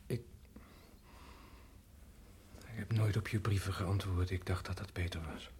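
An actor playing an anguished, weary condemned prisoner in a radio drama, with a husky, breathy voice. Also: male voice, low voice, fairly even intonation, measured pace, darkish tone, a little hiss or hum. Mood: subdued, haunted. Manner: subdued, brooding, weary. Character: anguished, haunted condemned male prisoner protesting innocence.

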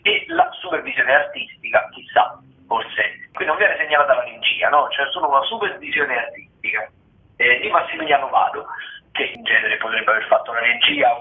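A man, a theatre critic, calling in to a radio show and running loosely through the week's theatre listings with many fillers and self-corrections. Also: on the high side. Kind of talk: casual radio rundown of weekly theatre listings. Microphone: phone call into radio studio. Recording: tinny phone line with echo.

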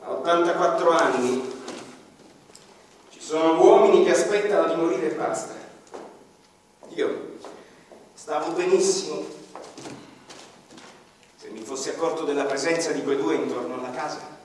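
A male actor plays an embittered, rebellious old dead man telling his life in a theatrical radio drama monologue. The voice is fairly high and carries from a distance through a very reverberant hall. The delivery is emphatic and literary, with weighty pauses. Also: a little hiss or hum, natural voice. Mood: grim. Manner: theatrical, emphatic, deliberate pauses, swinging from loud to hushed. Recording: very echoey hall, distant microphone. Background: faint violin music.

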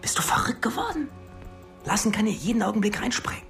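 A man's voice: high voice, animated, quick pace, gravelly, a bit bright, heavily acted. An actor playing a nervous, exasperated man, a police colleague, questioning in an agitated way in a radio crime drama. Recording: music behind the voice.

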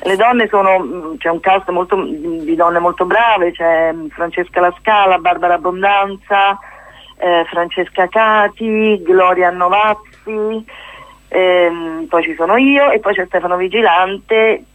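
A woman, chatting as a playwright-actress guest in a casual radio interview, often halting and rephrasing herself. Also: on the low side, dry recording, low-quality recording. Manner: chatty, halting and self-correcting, with amused asides. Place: radio studio.

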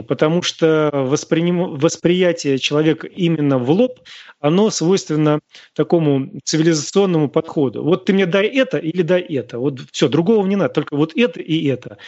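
A man's voice: dry recording, muffled recording. Scornful, emphatic man lecturing on radio. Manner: emphatic and scornful, with sharp rises in pitch. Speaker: radio host answering listener questions. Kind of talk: live radio talk, lecturing.

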